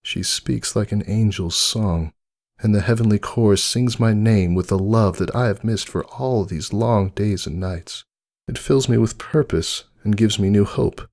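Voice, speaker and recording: Casting: wistful old rag doll narrator played by a man, deep and creaky, earnest dramatic storybook reading over music. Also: measured pace, a little mellow. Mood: hopeful, tender. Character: wistful, devoted old stitched toy doll, longing for friendship. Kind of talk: dramatic fan fiction narration. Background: music under the voice.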